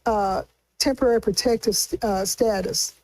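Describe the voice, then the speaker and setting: Mellow woman host, close dry sound. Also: medium pitch, normal speed, no room sound. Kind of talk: casual news discussion.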